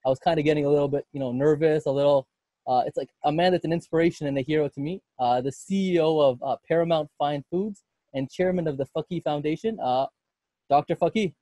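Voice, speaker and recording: A man's voice: fairly high pitch, quick pace, warm tone, no echo, rough recording. A young adult man co-hosting a podcast interview, chatty and casual with lots of fillers. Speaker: podcast co-host.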